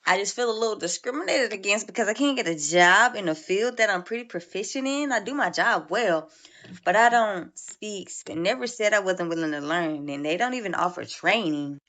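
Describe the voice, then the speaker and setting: A woman's voice: mid-range voice, animated, moderate pace, fairly bright tone. A woman talking casually and matter-of-factly, mildly frustrated.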